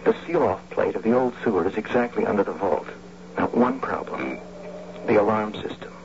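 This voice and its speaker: Matter-of-fact technical man: gravelly, lively intonation, old radio drama. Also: lowish voice, moderate pace, a little hiss or hum. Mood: businesslike. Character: matter-of-fact man explaining bank alarm systems. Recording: dull, humming old radio recording.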